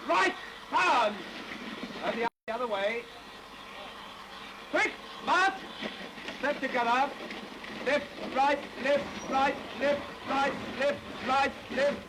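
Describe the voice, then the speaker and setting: An actor playing a British drill corporal shouting parade commands, in a 1940s film. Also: male voice, very high-pitched, very slow, pauses often, exaggerated voice. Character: loud male drill corporal. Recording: hissy 1940s film soundtrack.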